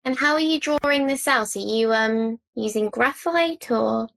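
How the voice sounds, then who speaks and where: Woman, podcast host, fry in the voice, dry recording. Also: fairly high pitch, unhurried, a bit bright, no echo. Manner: encouraging, lots of short agreeing sounds. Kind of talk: casual podcast chat.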